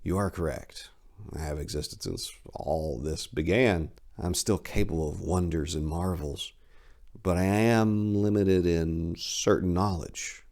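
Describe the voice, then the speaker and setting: A man voicing an ancient male fallen angel in a tailored suit, speaking in a measured, reassuring, matter-of-fact way. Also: deep voice, darkish tone.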